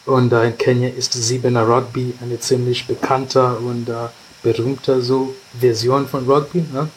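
A man's voice: mid-range voice, level tone, unhurried, a little hiss or hum, poor audio. A man from Kenya, a rugby player, explaining in simple phrasing with frequent tag questions. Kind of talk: casual interview answers.

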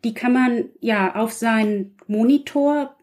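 A woman speaks as a guest author in casual conversation. Her voice is a little higher than average. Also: slow, darkish tone. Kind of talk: casual chat.

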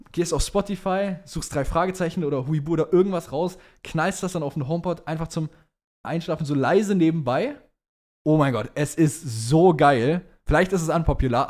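A man's voice: fairly high pitch, a little mellow. A young adult man co-hosting a podcast, chatting casually with plenty of youth slang and emphatic opinions. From German, partly raised in Dubai. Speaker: podcast co-host.

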